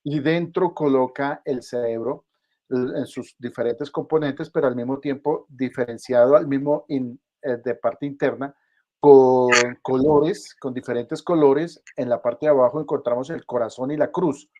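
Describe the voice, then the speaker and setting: Male interview host on a video call, effusive, halting delivery. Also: mid-range voice, fairly even intonation, mellow. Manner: effusive, halting with repeated words.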